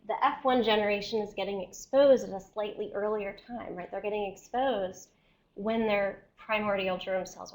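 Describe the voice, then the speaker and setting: A woman scientist giving an academic seminar lecture, explaining step by step and often checking in with her listeners, while losing her voice and clearing her throat. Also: medium pitch, poor audio.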